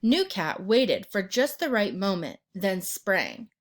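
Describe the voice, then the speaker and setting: Woman, children's read-aloud narrator, lively intonation, medium pitch, expressive storytelling. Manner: pauses to ask the listener questions and point out pictures.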